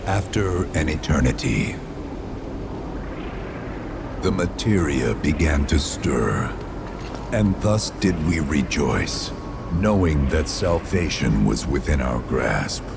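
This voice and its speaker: Game spirit: ancient, mournful and solemn, extremely low register, slow grandiose delivery. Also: male voice, sing-song, creaky, over loud music, heavily acted. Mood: reverent, mournful. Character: ancient, solemn, sorrowful spirit of a vengeful dead people. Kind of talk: formal archaic dramatic game acting.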